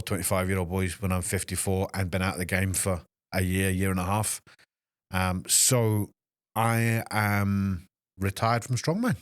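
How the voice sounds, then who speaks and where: A Scottish man in his fifties, a fitness trainer hosting a solo podcast, speaking in an emotional, halting way with many restarts. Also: low voice, no room sound, clean studio sound. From Scotland. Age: 50s. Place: small podcast studio.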